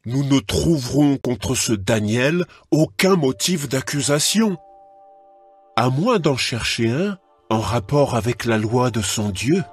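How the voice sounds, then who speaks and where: Man as a husky, scheming court official. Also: medium pitch, lively intonation, slow pace. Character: scheming male court official plotting against a rival. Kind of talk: dramatised scripture reading. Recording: background music.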